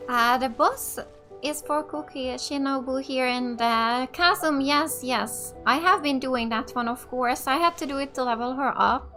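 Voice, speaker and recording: High-voiced woman, unhurried gaming commentary. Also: sharp tone. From Finland. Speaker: gamer, let's play host. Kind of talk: casual gameplay commentary. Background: game music.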